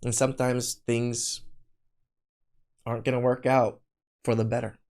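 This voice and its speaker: A man's voice: mid-range voice, little pitch movement, unhurried, a little mellow. A man from Cambodia hosting a reaction podcast, commenting casually and earnestly, often repeating himself. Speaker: podcast host reacting to a video.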